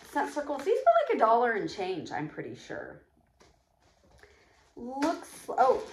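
A middle-aged woman chatting casually to camera at home, rambling and counting items aloud as she shows a shopping haul. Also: a little high. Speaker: vlogger showing a shopping haul. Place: echoey room at home. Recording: echoey home room, faint noise.

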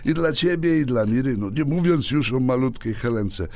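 An actor playing Krystek, a sheepish middle-aged husband who stammers and pleads after a drinking mistake, in a radio drama. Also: male voice, mid-range voice, animated, normal speed, darkish tone, lightly acted. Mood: pleading.

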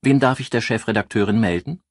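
Guy doing a polite formal newspaper phone clerk, slightly husky, crime audiobook. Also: fairly low pitch, fast talker, dry recording, clean studio sound, voice pushed up. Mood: courteous. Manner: polite, routine phone greeting. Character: courteous, formal male newspaper switchboard employee.

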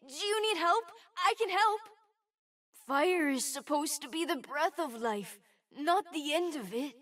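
A woman playing an eager, sweet, boastful twelve-year-old boy fire mage in a video game, with an excitable, earnest, childlike manner. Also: high voice, a little slow, distant mic. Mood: playful, proud. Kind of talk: video game character voice lines.

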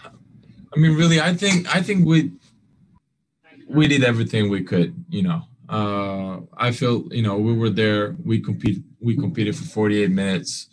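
A young male basketball player answering postgame questions over a video call. He speaks earnestly in halting phrases, full of fillers and restarts, with non-standard grammar. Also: fairly low pitch, slight background noise. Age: young adult. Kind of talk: postgame press conference answers.

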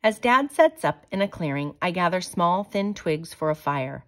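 A woman reading a children's picture book aloud in a measured, expressive way. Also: fairly low pitch. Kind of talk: storybook read-aloud.

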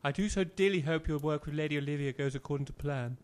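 An actor playing a genteel, effusive village vicar with an English accent, over-polite and fawning, in a comic audio drama. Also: male voice, on the high side, quick pace, natural voice. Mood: cheery.

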